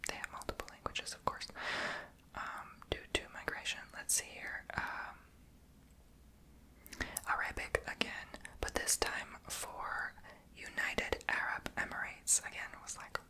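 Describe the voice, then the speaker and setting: A woman's voice: measured pace, bright, forceful tone. A woman whispering in an ASMR video, chatty and rambling, who says she is tongue-tied and makes her r in her throat. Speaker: ASMR creator.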